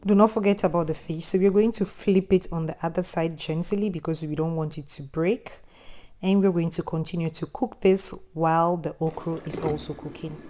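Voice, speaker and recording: Friendly Ghanaian woman with a mellow voice. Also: on the low side, fairly even intonation, normal speed. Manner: friendly, instructive, chatty asides. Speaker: cooking channel host. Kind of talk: cooking tutorial voice-over.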